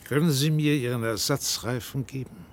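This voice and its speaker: An actor playing an earnest, old-fashioned reservation dweller in a science-fiction radio drama, speaking formally and deliberately, like a guide explaining things. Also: male voice, mid-range voice, a little hiss or hum, natural voice. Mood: guarded, matter-of-fact.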